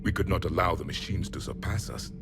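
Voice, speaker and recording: An actor playing a grim, proud alien warrior, the last of a fallen empire, speaking in solemn, blunt declarations for a video game. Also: male voice, deep voice, a little fast. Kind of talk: dramatic video game acting. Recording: dramatic music behind the voice.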